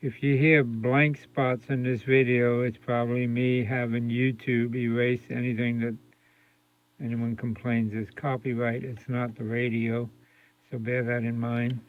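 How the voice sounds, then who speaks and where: A man giving a casual, unscripted radio repair demonstration in hesitant, halting phrases, recorded indoors. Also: medium pitch, flat delivery. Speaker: radio restorer demonstrating a set. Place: indoor room with fluorescent lights. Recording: dull lo-fi home video sound.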